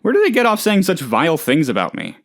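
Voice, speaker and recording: A man voicing a naive, excitable oddball office boss in a video game, chatty and rambling, with hesitant, earnestly gullible delivery. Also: a little high, fast. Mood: indignant, uneasy. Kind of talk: video game character dialogue.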